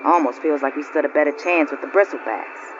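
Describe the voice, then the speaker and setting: A woman's voice: sits low, brisk, natural voice. A woman playing a hardy, plain-spoken quarry crew boss in a video game, with a folksy, colloquial delivery. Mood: frustrated. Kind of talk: cinematic video game acting. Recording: dull lo-fi audio with faint noise.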